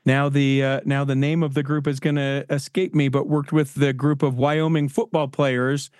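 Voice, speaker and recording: A man's voice: medium pitch, level tone, normal speed, darkish tone, clear, resonant tone, no echo, studio quality. A man hosting a podcast interview, talking enthusiastically and rambling with many restarts. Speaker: podcast host. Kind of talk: casual interview.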